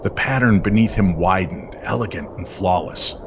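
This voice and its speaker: A man narrating an eerie story in old-time radio style, with dramatic, deliberate, suspenseful phrasing. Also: sits low, noisy background.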